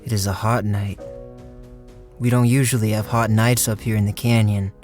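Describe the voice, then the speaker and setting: A man acting a guilt-ridden, fatalistic plotter who tells his story in a radio drama, somber and deliberate. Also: lowish voice, little pitch movement, darkish tone, faint music behind, natural voice. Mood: foreboding. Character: Clyde, guilt-ridden, fatalistic man narrating a murder plot. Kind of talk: dramatic first-person radio drama narration.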